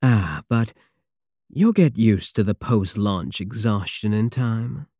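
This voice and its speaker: A man's voice: lowish voice, animated, dark tone, no room sound, studio quality, exaggerated voice. A man playing a big, teasing, possessive space pirate king in an intimate, sardonic ASMR roleplay. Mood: teasing, smug. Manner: slow, teasing, purring endearments, sardonic.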